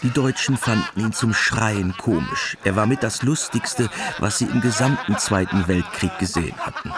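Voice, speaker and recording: A man's voice: lowish voice, normal speed, a crowd in the background. A man narrating a scripted radio drama as its storyteller. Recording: music behind.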